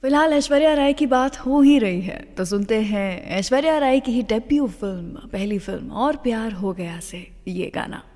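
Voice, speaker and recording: A woman's voice: on the high side, animated, normal speed, few pauses, darkish tone. An Indian woman presenting a radio show in a chatty way, speaking straight to her listeners and slipping between two languages. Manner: chatty, addresses listeners directly; code-switches between Hindi and English. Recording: long reverb on the voice.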